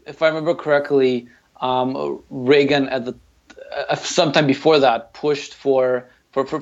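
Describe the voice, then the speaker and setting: Man, 30s, from Ukraine, podcast guest, relaxed storyteller, middle pitch, slightly muffled lo-fi audio. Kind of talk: casual interview.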